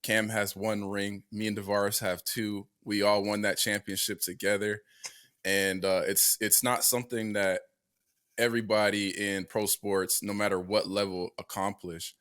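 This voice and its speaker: Young male podcast host, low-pitched, halting with frequent fillers and restarts. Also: little pitch movement, fairly bright tone, clean tone. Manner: hesitant, many fillers and restarts. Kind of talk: casual sports podcast chat.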